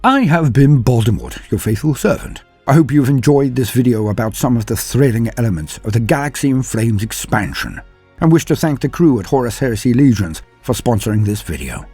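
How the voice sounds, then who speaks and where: A man's voice: mid-range voice, animated, brisk, warm tone, breathy edge, faint music behind. A man narrating scripted lore in a grandiose, theatrical storytelling manner. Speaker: narrator and presenter of a lore channel.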